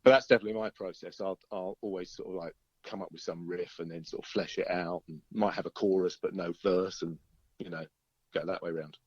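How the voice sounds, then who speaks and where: British bloke chatting in an interview, lowish voice, rambly musician type, bit muffled. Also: male voice, steady tone, a little fast, a little mellow, slightly rough, no echo. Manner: chatty, rambling storyteller, often chuckling. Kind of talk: casual interview chat.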